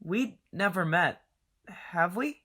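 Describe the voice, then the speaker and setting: A woman voicing a timid young man who stammers and speaks quietly, in an amateur audio drama. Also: fairly low pitch, expressive, takes it slowly, breathy edge, heavily acted. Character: shy sickly young man passenger.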